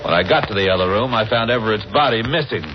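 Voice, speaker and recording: Actor as quick, clipped, defensive murder suspect. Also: male voice, on the low side, natural voice. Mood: cool, defensive. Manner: clipped, formal, self-justifying. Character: defensive, coolly indignant male murder suspect. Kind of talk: 1940s radio acting. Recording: muffled, noisy vintage radio recording.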